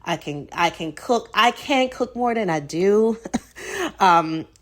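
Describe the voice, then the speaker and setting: A woman's voice: mid-range voice, expressive, slow pace, fairly bright tone. An American woman with a Southern accent hosting her own podcast, talking casually and reflectively with many fillers. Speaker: podcast host. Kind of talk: solo podcast monologue.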